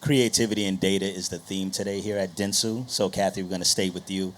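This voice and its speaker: A man's voice: low-pitched, fairly even intonation, fairly quick, slight background noise. A man moderating a panel, asking questions in a conversational interviewing style.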